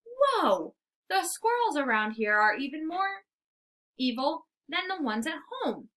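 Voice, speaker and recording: Woman voicing an excitable, cocky storybook dog, swooping pitch. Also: slow pace, heavily acted. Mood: astonished. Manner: exclamatory, dramatic, eager. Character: excitable small male dog, cocky squirrel-hating playful hero. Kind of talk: storybook read-aloud, first-person narration.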